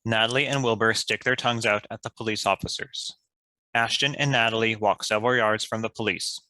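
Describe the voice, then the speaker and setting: A man's voice: fairly low pitch, steady tone, a little fast, pushed and bright, no echo. A man reading scripted stage directions as a narrator. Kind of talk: scripted narration of screenplay action.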